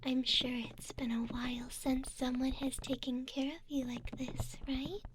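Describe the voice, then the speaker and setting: A woman voicing a gentle, doting female elf in a whispered ASMR roleplay, soft and breathy, tender and reassuring, close on a binaural mic. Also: high voice, level tone, a little slow, background noise. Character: two doting female elves, gentle caring ear cleaners. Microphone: close binaural ear microphone.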